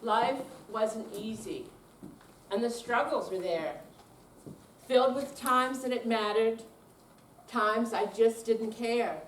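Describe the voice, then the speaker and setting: A woman's voice: a little high, slow pace, long pauses. An older woman giving a dramatic reading of verse in a live readers theater performance. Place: senior center room, mic at a distance. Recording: echoey room, distant, faint noise.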